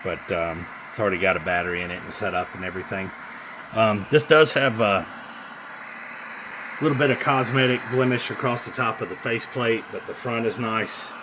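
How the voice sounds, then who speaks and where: A man casually demonstrating a radio he is selling, talking in an informal, halting, hands-on way. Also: on the low side, fairly even intonation. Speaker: CB radio seller. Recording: noisy room with radio hiss.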